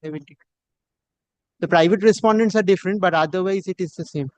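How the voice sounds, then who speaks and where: A man's voice: high voice, fast talker, a little mellow, no echo. A man, a lawyer making spontaneous submissions to a judge, deferential and often repeating his words. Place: courtroom.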